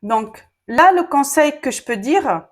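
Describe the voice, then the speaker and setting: A woman's voice: sits high, lively intonation, slow. Woman, online business coach, casual chatty delivery, lots of fillers and asides, Arabic first language. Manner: chatty, frequent fillers, addresses viewers directly. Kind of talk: casual talking-to-camera advice.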